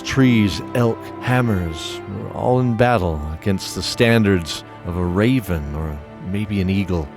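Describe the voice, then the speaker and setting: A man narrating a fantasy audio drama in steady, descriptive scripted storytelling. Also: on the low side.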